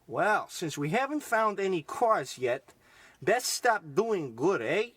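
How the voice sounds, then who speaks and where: A man's voice: high-pitched, sing-song, slow, a bit bright, slightly rough, no echo, full character voice. A man playing a fussy, oddball doctor in a comic old-time radio style, hesitant and stammering, then grandly pronouncing. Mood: gravely advising. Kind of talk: parody of old radio drama acting.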